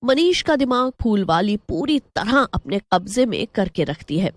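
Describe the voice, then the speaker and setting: A woman's voice: mid-range voice, big pitch swings, a little slow, dry recording. A woman narrating a scripted horror story as a storyteller.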